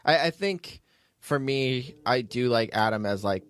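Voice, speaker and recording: A man's voice: mid-range voice, animated, measured pace, a bit bright, smooth. A man hosting a podcast in casual unscripted chat, with a hesitant, rambling, informal way of talking. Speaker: podcast host, audio drama writer and producer.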